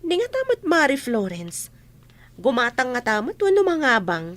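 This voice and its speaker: An actress with an Ilocano Filipino accent playing an excitable, nosy female friend in a radio drama. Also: sits high, moderate pace. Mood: excited.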